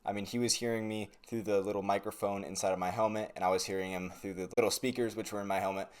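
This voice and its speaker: A man's voice: on the low side, steady tone, fast. A young man giving a casual, conversational product review to camera in a laid-back, slangy way. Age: young adult. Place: small bedroom, indoors.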